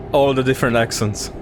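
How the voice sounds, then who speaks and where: A man from Czechia streaming casual chat while driving, laid-back and given to chuckling and trailing off. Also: mid-range voice. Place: inside a car on the road. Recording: steady road and car noise. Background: car and road noise.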